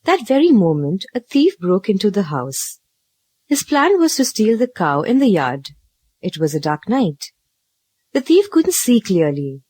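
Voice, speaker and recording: A woman narrating a children's story from a script, with an expressive, dramatic read-aloud delivery. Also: mid-range voice, unhurried, breathy edge, no echo.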